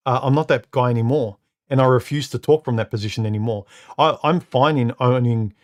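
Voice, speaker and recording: Deep-voiced man hosting a live stream. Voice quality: deep, somewhat muffled voice. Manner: halting, repeats words, many uh and um fillers. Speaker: live stream host reading comments. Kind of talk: casual live stream talk. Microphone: studio microphone.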